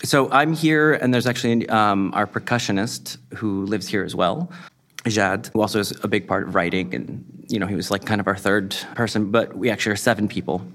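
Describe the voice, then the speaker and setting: A man's voice: fairly low pitch, brisk. A young adult man, a musician, talking casually in an interview, rambling a little with many fillers. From United States. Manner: relaxed, rambling with frequent fillers.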